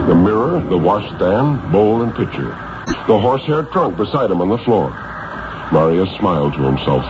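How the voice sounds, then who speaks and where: Deep-voiced man reading horror on old radio. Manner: theatrical, suspenseful delivery. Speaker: horror story narrator. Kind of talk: dramatic 1950s radio story reading. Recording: noisy, muffled 1950s radio recording.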